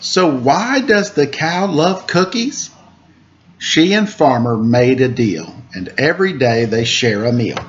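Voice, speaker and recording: A man in his forties reading a children's picture book aloud in a playful, expressive way, with chatty asides. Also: mid-range voice, takes it slowly. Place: room at home, indoors. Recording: muffled webcam audio with clear background noise.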